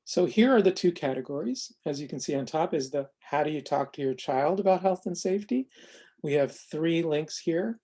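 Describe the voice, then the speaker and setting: An American man presenting an unscripted explanatory demo of a software tool, speaking in a measured, halting way with frequent pauses. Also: fairly high pitch, lively intonation.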